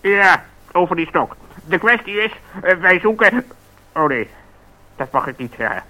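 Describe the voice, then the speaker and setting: A flustered, secretive bird for a children's radio play. The voice is bright, sharp and fairly high. He frets and corrects himself in exasperation. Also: sing-song, normal speed, exaggerated voice, rough recording. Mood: fussy, flustered. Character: fussy, flustered, secretive male talking bird.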